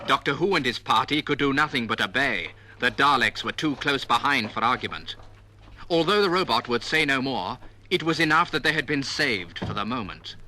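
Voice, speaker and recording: A man's voice: mid-range voice, animated, moderate pace, background noise. A man reading scripted narration for an audio adventure story, from an old record.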